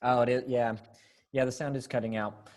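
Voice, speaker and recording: A man directing and narrating an amateur readers theater practice in a playful, improvised, halting way. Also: medium pitch, level tone, darkish tone. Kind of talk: informal hammy announcing and coaching.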